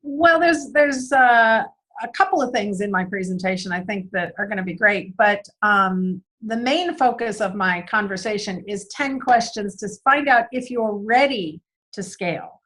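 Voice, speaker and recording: A woman guest who is losing her voice, answering interview questions earnestly with frequent "um" pauses. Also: mid-range voice, lively intonation, fairly bright tone, poor audio. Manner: earnest, many "um" fillers and repeated words. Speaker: guest speaker on business systems.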